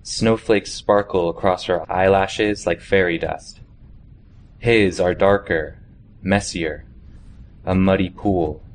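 Young man, low voice, slow literary narration, noticeable background noise. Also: steady tone. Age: young adult. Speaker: student reading a short story aloud.

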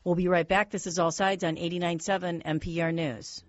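A radio talk show host taking calls and introducing a guest in a brisk, matter-of-fact way. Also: medium pitch, little pitch movement. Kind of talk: call-in talk show hosting. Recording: dry, slightly dull broadcast sound.

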